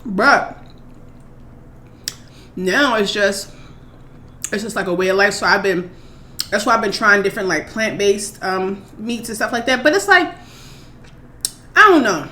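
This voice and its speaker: A woman's voice: medium pitch, a little slow, long pauses. Chatty woman in her thirties, echoey room. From Maryland, USA. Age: 30s. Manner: rambling, chatty, relaxed, with many fillers. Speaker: mukbang vlogger. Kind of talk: casual chat answering viewer questions. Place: home. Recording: echoey room, faint background noise.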